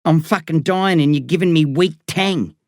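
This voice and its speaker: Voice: medium pitch, moderate pace, no room sound, clean studio sound, pitched above the performer's own voice, natural voice. A reader voicing a sullen, crude eleven-year-old boy who spits out his words.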